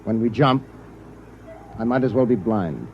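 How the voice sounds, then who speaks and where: An actor playing a resolute starship captain, speaking formally and with clipped authority in 1950s radio drama style. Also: male voice, mid-range voice, expressive, a little slow. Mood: sober resolve. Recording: muffled vintage radio, faint noise.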